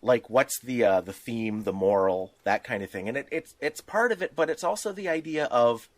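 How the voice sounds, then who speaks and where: Male co-host, animated intonation, medium pitch, dry sound, conversational and explanatory. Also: moderate pace, dry recording. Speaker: podcast host. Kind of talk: casual podcast discussion.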